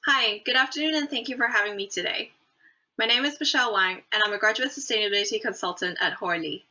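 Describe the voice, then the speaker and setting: A young woman from Hong Kong, an awards finalist, giving an earnest, measured prepared speech. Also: a little high, pushed and bright. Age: young adult. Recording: dull lo-fi audio.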